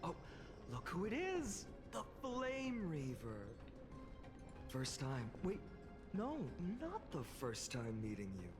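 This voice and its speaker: A woman voicing a cocky video game trickster posing as a great hero, grandiose and taunting with drawn-out words. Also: deep voice, huge pitch swings, full character voice. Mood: mock-heroic, taunting. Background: game music.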